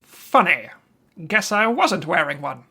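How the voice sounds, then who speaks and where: Hardboiled radio investigator, bright voice, animated intonation, dry wit, faint background noise. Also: male voice, high voice, unhurried, vocal fry, heavily acted. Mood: wry. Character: wry 1940s insurance investigator narrating his case. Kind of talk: old-time radio detective drama acting.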